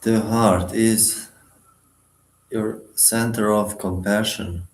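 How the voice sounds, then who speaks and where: A man leading a guided meditation, giving instructions in short, sparse phrases with long pauses between them. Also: on the low side, steady tone, reverberant, poor audio.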